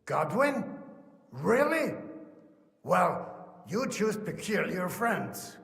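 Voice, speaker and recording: High-pitched wry nobleman, echoey hall. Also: very animated, drawn-out words, vocal fry, fairly bright tone, full character voice. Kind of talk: dramatic video game acting. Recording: echoey hall with long reverb.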